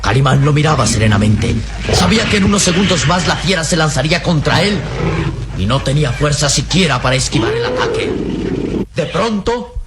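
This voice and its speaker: A man's voice: on the high side, sing-song, fairly quick, heavy background noise. Suspenseful radio serial narrator, man. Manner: suspenseful, declamatory. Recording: radio drama with loud music behind.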